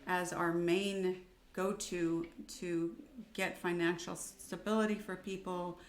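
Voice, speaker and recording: A woman hosting a conversational interview, speaking in a measured, appreciative way. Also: fairly low pitch, little pitch movement, a little hiss or hum. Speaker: interviewer, volunteer project founder. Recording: roomy echo.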